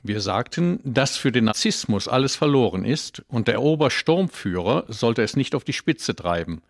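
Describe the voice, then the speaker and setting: A man's voice: mid-range voice, lively intonation, moderate pace, dry recording. Dry-sounding man reading an account. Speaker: reader of testimony. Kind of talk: scripted reading of an eyewitness account.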